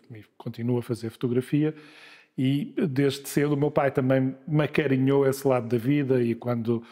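A man in his sixties from Lisbon, telling his life story in a relaxed interview, pausing often with filler sounds. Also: medium pitch. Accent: Lisbon Portuguese. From Portugal. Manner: unhurried, hesitant with many filler sounds and restarts. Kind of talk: conversational interview. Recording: long hall reverb.

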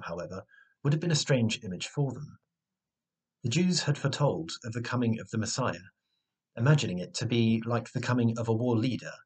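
A man's voice: mid-range voice, darkish tone, husky. A man delivers a scripted sermon as a trainee preacher. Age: 20s. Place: small room indoors at home. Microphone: webcam at close range.

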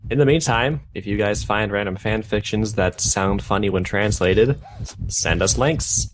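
A man's voice: lowish voice, moderate pace, background noise. A man reading fan fiction aloud, stumbling over odd words and breaking off with casual joking comments. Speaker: reader of fan fiction on a gaming video.

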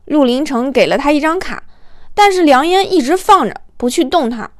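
A woman narrating a comic romance novel as an audiobook in an expressive, colloquial storytelling manner. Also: high-pitched, moderate pace, dry recording, clean studio sound.

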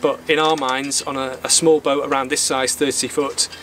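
A man's voice: medium pitch, level tone, moderate pace, fairly bright tone. A man in his thirties hosting an instructional sailing vlog, explaining things plainly to camera. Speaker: sailing channel host. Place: outdoors on a small boat in a marina. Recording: outdoor marina background noise.

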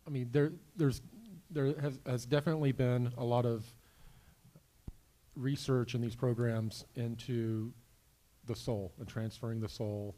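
A man on a panel answering a question haltingly, often repeating words. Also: mid-range voice, fairly even intonation, warm tone.

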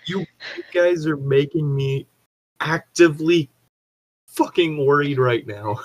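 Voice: mid-range voice, takes it slowly, darkish tone, no echo, muffled recording. An anxious, exasperated podcast co-host pleading and swearing in casual chat with friends. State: anxious, stressed.

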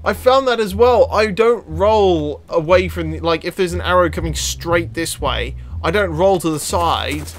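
A man's voice: sits high, unhurried, hardly any pauses. A man hosting a gaming video with casual, jokey, self-mocking commentary, exclaiming and talking to the characters in the game. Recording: music playing behind the voice. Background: music.

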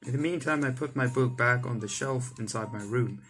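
A man's voice: mid-range voice, fairly quick, fairly bright tone. A young man, a gaming YouTuber, reading visual novel text aloud and adding his own asides. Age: young adult. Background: game music.

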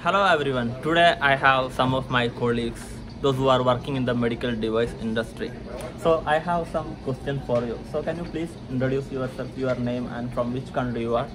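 A young man from India, hosting a vlog and putting halting, polite questions to a colleague in a lounge, recorded on a camera held close. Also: medium pitch, poor audio. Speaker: vlog host interviewing a colleague. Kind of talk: casual interview questions. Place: indoor hotel lounge.

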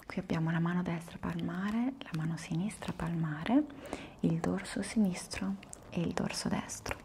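A young woman in an ASMR roleplay as a palm reflexology therapist, whispering breathily with polite, soothing, unhurried instructions. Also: on the low side, a little mellow, slight background noise. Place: small indoor room at a table. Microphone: lavalier microphones close by.

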